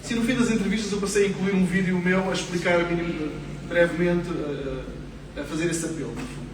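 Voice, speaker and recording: A man's voice: sits high, fairly even intonation, fairly quick, noisy background. A man who hosts a podcast, speaking as a panel guest and earnestly explaining an appeal for support. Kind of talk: public panel conversation. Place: theatre stage. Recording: echoey theatre hall from far off.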